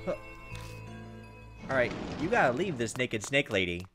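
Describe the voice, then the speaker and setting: Male gameplay host, average pitch, informal and jokey, narrating a visual novel over clear music. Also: big pitch swings, moderate pace, fairly bright tone. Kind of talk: reading visual novel text aloud with casual commentary.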